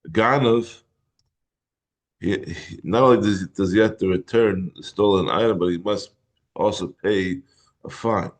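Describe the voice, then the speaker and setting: A hoarse middle-aged man teaching a Talmud class in a halting way, often clearing his throat. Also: sits low. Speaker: Torah teacher.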